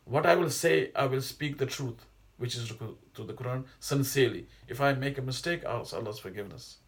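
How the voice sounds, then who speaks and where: A man's voice: medium pitch, brisk. A middle-aged man, whose first language is not Arabic, giving an informal religious lesson in a rambling, digressive way with many hesitations and restarts. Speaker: Islamic teacher.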